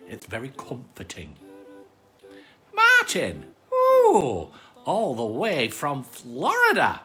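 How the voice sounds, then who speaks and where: A man's voice: a little high, huge pitch swings, slow pace, sharp tone, heavily acted. A man with a British accent voicing a friendly, chatty armadillo puppet who hosts a cosy children's bedtime story show. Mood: excited, welcoming. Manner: cosy, chatty, repeats phrases. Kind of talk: casual children's livestream chat.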